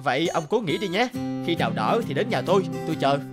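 An actor voicing pushy village men in a cartoon who insist on getting their way. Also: male voice, on the high side, sing-song, fast. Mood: coaxing. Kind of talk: cartoon voice acting. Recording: music behind voice.

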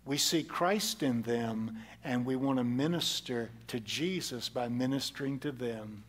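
An older man preaching a sermon from a church stage, earnest and repeating key phrases for emphasis, on a headset mic. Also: on the high side. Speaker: pastor. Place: large church sanctuary stage at a lectern.

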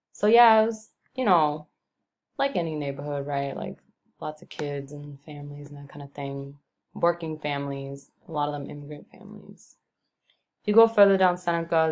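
A young woman from Brooklyn, New York, narrating a screen-recorded tour of her neighborhood in a relaxed, rambling way with many ums and likes. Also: deep voice, a little hiss or hum.

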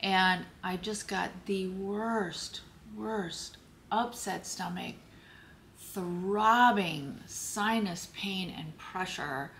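Slow, hoarse woman vlogging at home. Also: medium pitch, a bit bright, a little hiss or hum. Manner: slow, halting, searching for words. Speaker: lifestyle vlogger. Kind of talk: personal health story told to camera. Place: home office, indoors.

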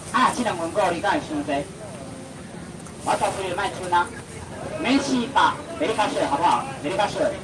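A young man with a Taiwanese accent auctioning seafood, calling out prices in a rapid sing-song patter and bantering with the crowd at an outdoor market. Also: sits high. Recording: distant voice over loud market noise.

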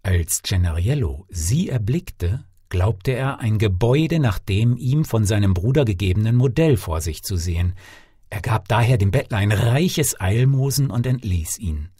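A man tells a fairy tale in a low, husky voice with a mellow tone. His scripted audiobook delivery is theatrical and deliberately phrased. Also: animated, no room sound, clean studio sound. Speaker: fairy-tale narrator, actor. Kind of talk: expressive scripted audiobook storytelling.

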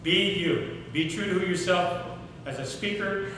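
A middle-aged man giving a workshop talk on public speaking, animated and asking the audience questions in a hotel conference room. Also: sits high, a little slow, slight background noise. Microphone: far camera, no mic seen. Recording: echoey room recorded from far off.

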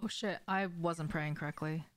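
A young woman with a slightly low voice talks casually as she streams a game from a room at home. She speaks in short, slangy reactions, as in an informal chat with viewers. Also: steady tone, moderate pace, fairly bright tone. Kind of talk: casual livestream chat while gaming. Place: small indoor room at home.

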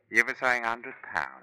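An actor playing a sly, haggling old-time baker with a wry manner in a 1950s radio drama. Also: male voice, mid-range voice, bright, forceful tone, clean tone, dry recording, full character voice, rough recording. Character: sly, bargaining old-time English bun baker Humphrey. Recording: vintage 1950s radio recording.

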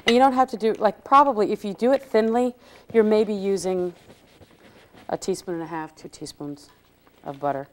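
A woman's voice: mid-range voice, moderate pace. A middle-aged woman giving a casual, chatty cooking demonstration on a studio kitchen set. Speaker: cook demonstrating on a cooking show. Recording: clearly noisy TV studio recording.

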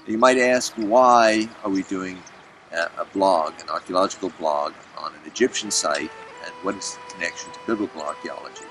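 Deep-voiced man leading a dig. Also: a bit bright, soft background music. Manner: measured, hesitant with many fillers. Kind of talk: documentary explanation. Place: outdoor excavation site.

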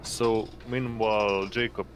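Deep-voiced, slow-paced male game master. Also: flat delivery, slight background noise. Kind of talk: narrating an online tabletop roleplaying game. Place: indoors on an online voice call.

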